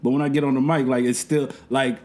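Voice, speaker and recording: A young man with a deep, mellow voice talks loosely through his story, dropping slang and circling back on himself. His tone is dark and easy, and his speech is that of a seasoned event host chatting on a podcast. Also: fast talker. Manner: relaxed, rambling storytelling with slang. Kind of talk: casual podcast conversation.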